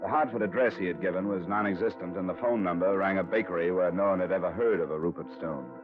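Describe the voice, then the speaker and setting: A low-pitched, hard-boiled American investigator played by a male actor in a vintage radio crime drama. He is terse, cool and probing, with clipped noir delivery. The old broadcast sounds dull, with music behind. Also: fast talker, natural voice. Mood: terse, matter-of-fact. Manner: terse, matter-of-fact, probing. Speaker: lead actor and narrator. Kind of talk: 1950s radio detective acting, clipped noir narration.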